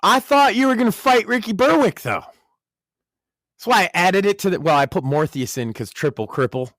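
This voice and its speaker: A man's voice: high-pitched, normal speed, no echo. Crude joking guy w/ slight northeastern accent, voice jumps all over, dry mic. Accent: light Northeastern US. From Massachusetts. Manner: profane, joking, animated. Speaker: podcast co-host. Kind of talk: casual chat.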